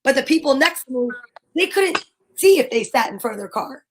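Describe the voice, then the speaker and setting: A woman talk show host chatting casually, telling stories in an animated, rambling way. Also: high-pitched, fairly quick, slight background noise. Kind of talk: casual chat.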